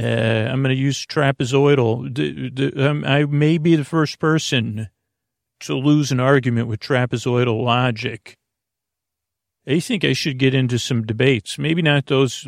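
Male podcast host, gravelly creak, close dry sound; halting, tangent-prone improvised comic rambling for a sleep show. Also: medium pitch, no room sound. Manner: meandering, tangential, halting and self-interrupting.

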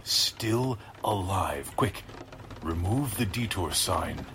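An actor playing a deranged, obsessive scientist in a radio drama, with a husky, gravelly voice and an intense, sinister, commanding manner. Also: male voice, deep voice, expressive, background noise. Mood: excited, scheming. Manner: slow, intense, breathy whispering and urgent commands.

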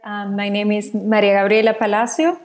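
A woman's voice: medium pitch, flat delivery, a little fast, a little mellow. A woman from Ecuador, a university lecturer speaking conversationally as a podcast guest. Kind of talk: conversational interview talk.

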